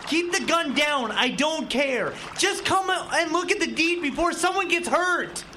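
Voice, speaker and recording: A man's voice: very high voice, expressive, unhurried, pushed and bright, full character voice. A man playing a determined, level-headed young husband in a radio play. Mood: tense, commanding. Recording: clear water noise behind the voice.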